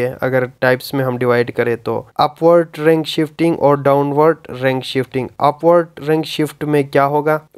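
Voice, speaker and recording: A man teaching in an explanatory lecture style, mixing English terms and phrases into his explanations, with a clear, smooth voice. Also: mid-range voice, darkish tone.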